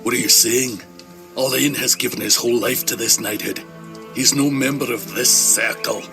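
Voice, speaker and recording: An actor playing a gruff, determined knight in a video game, blunt and terse. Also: male voice, mid-range voice, steady tone, normal speed, natural voice. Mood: doubtful, firm. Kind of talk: video game cutscene acting. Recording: loud music behind. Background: music.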